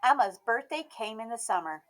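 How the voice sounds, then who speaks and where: A woman reading a children's picture book aloud as an expressive narrator. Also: on the high side, moderate pace. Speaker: storybook read-aloud host.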